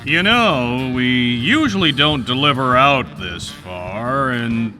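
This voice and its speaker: A man's voice: medium pitch, expressive, takes it slowly, sharp tone, soft background music. A man voicing a grumbling working cargo deliveryman in a video game, plain and laid-back in manner.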